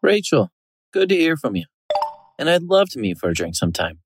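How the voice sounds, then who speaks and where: A woman's voice: deep voice, big pitch swings, fairly quick, slight background noise. A woman voicing the text messages of a friendly, flirty man she is dating, read in a breezy, casual tone. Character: friendly, easygoing man texting a date.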